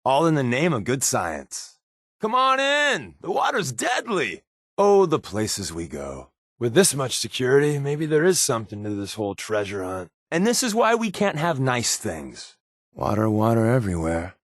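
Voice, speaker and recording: A man's voice: medium pitch, huge pitch swings, normal speed, no echo, studio quality. A man playing a wry, laid-back spy companion in a post-apocalyptic video game, given to sardonic asides. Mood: playful.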